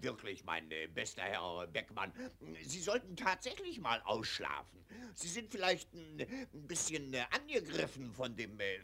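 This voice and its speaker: An actor playing a condescending, jovial older German colonel in a 1940s radio play, with a patronising, blustering manner. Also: male voice, on the high side, vocal fry, bright, forceful tone, heavily acted. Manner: patronising, blustering, hesitant, chuckling. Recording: old 1940s radio recording.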